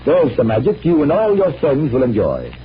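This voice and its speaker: A man playing a calm, assured magician detective, measured and instructive, in old-time radio drama style. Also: medium pitch. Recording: muffled vintage radio recording.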